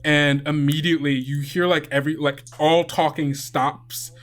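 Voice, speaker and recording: A man running a tabletop game as game master, narrating off the cuff in a casual, halting, colloquial way. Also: a little high, level tone, fairly bright tone. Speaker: game master and podcast host.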